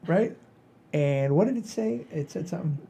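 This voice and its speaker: Mellow-voiced man telling rambling stories. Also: a little high, expressive, normal speed, gravelly, slightly rough. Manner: rambling, repeats himself, folksy "I says". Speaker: comedy podcast host. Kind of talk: casual comedic chat, telling anecdotes.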